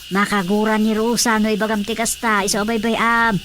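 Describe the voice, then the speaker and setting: A woman playing a chatty, gently exasperated grown daughter in a radio comedy drama, with an Ilocano accent. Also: mid-range voice, level tone, a little fast, slight background noise, natural voice.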